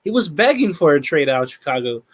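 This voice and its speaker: A man co-hosting a sports talk show, recapping news in a steady, methodical way with many fillers. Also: on the high side, fast talker. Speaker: podcast co-host.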